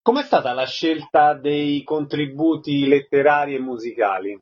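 A man's voice: a little high, animated, normal speed, husky, muffled recording. A man hosting a radio interview, putting questions and announcing theatre dates.

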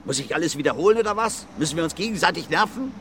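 An actor playing a surly, insolent crime suspect in a radio drama, irritated and defiant. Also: male voice, a little high, lively intonation, rapid-fire, background noise, lightly acted. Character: surly, defiant male suspect, rough working-class son.

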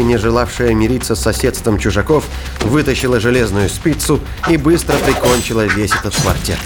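A man reading scripted dramatic narration for a sci-fi thriller audio drama. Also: mid-range voice. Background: music.

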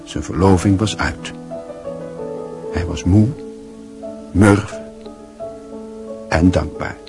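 An actor narrating a literary radio drama in a measured, reflective, scripted style. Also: male voice, low voice, steady tone, creaky, music in the background, heavily acted. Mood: wry, reflective.

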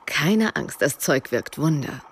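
A cool, teasing woman. Her voice is very low and self-possessed. A faint ambience lies behind her. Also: expressive, slow, slight background noise, heavily acted. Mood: coolly teasing. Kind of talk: German radio drama acting.

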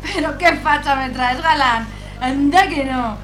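A woman's voice: sits high, measured pace, a bit bright, soft background music. A woman playing a blunt, teasing, bossy market woman in a radio play, with an exclamatory, chiding delivery.

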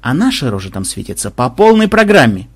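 Guy doing a dry philosophical gamer character, bit husky, matter of fact, audiobook. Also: mid-range voice, sing-song, fairly quick. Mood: wry. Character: wry, philosophical male game tester, calmly matter-of-fact.

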